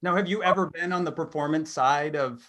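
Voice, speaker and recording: A woman's voice: sits low, brisk, fairly bright tone. A woman hosting a casual podcast chat and asking guests questions. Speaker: podcast host, interviewer.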